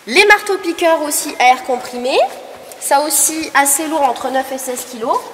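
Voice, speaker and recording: A woman's voice: high voice, moderate pace, recorded from a distance. Woman guide in an echoey hall. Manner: explanatory, quizzes visitors with questions. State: tired with a cold. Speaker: museum tour guide. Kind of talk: guided tour explanation to a group. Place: indoor mining museum hall. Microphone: far camera recording a group tour. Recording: echoey hall, voice from afar, noisy.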